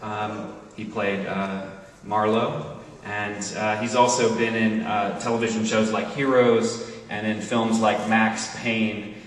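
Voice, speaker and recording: A man presenting a cast to an audience in a halting way, with frequent pauses. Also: fairly low pitch, little pitch movement. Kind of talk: spoken introductions to an audience. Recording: echoey hall, distant microphone.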